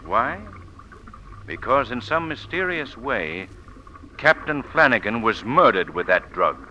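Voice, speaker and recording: Gentleman detective: mid-pitched, expressive, measured, articulate, vintage radio drama, noisy recording. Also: highs cut off. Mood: grave, deliberate. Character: calm, shrewd gentleman sleuth. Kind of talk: 1940s radio acting.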